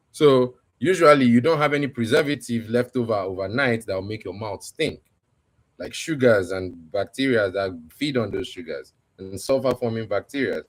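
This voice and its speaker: Male livestream host, mid-range voice, casual, explanatory delivery. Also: medium pitch.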